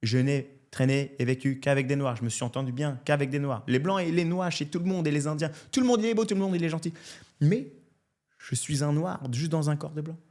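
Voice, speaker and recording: A French man in his thirties, a comedian, telling his life story in a casual interview in an animated, candid way. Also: fairly high pitch, a little fast. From France. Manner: animated and candid, often adds "tu vois". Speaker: comedian guest.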